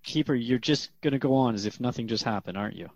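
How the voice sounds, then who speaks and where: A man's voice: mid-range voice, fast, dry recording, natural voice. Man acting inquisitive radio host, earnest, conversational, radio drama, dry muffled studio sound. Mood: bewildered. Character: earnest, curious male radio host questioning a spirit guest. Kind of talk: scripted radio drama as talk show.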